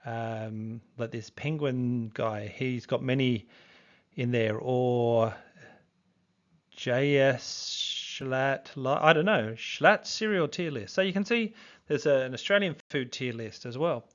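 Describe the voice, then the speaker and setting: A man's voice: mid-range voice, slow pace, fairly bright tone, muffled recording. A man of fifty with a youthful-sounding voice, talking casually to camera as a true crime YouTuber, rambling with fillers and restarts. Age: 50s. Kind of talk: casual video commentary.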